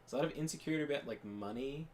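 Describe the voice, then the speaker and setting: A man's voice: mid-range voice, racing, a little hiss or hum. A young man chatting casually as a podcast co-host, rambling with lots of fillers and restarts. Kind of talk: casual chat while gaming.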